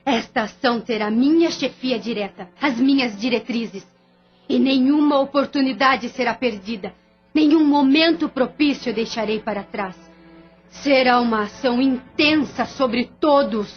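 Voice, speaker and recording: A woman's voice: fairly high pitch, unhurried, dull audio, soft background music, lightly acted. A woman voicing the bitter, vengeful spirit of a dead woman, declaiming threats in an audio drama. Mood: commanding.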